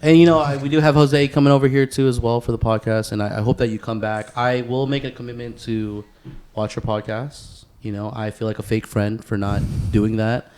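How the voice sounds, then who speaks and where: A man hosts a casual podcast at a middling pitch. He rambles through his thoughts in informal slang and swears freely as he goes. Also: level tone, fairly quick, a little mellow, smooth. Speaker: podcast host.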